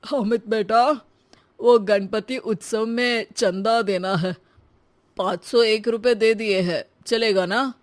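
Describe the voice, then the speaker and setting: A woman voicing a gentle elderly father in a cartoon story, speaking softly and slowly. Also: medium pitch, steady tone, dry recording, lightly acted.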